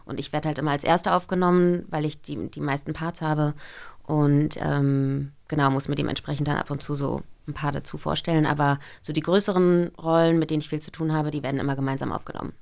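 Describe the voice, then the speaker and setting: A woman's voice: deep voice, flat delivery, brisk, vocal fry, a little mellow. A woman voice actress guest, talking openly and easily about her recording work in a studio interview. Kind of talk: casual interview chat.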